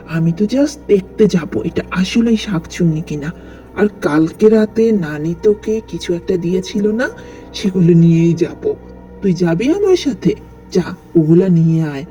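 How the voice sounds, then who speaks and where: A man's voice: high-pitched, dark tone. A man voicing a bold, curious young boy in a cartoon, defiant and matter-of-fact, on a dull lo-fi track. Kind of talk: children's cartoon acting.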